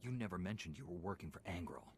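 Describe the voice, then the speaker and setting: A man's voice: low voice, lively intonation, fast talker, slight background noise. An actor playing a defiant male Jedi knight in a game who speaks in a firm, challenging way.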